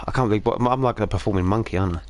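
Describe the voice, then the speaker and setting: A man hosting a live game stream, croaky today and joking about himself in casual chat. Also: fairly low pitch, fast talker, a crowd in the background. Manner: jokey, self-mocking, animated. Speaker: streamer host.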